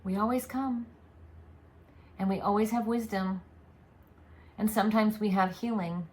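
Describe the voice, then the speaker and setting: A woman channeling spiritual guidance, speaking as a collective "we" in a slow, deliberate, soothing way. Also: medium pitch, fairly even intonation, darkish tone.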